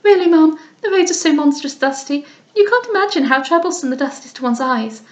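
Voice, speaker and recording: A woman's voice: high-pitched, lively intonation, a little fast, darkish tone, voice pushed up. A woman putting on a mincing, affected young lady of rank. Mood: petulant, coquettish. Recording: roomy echo.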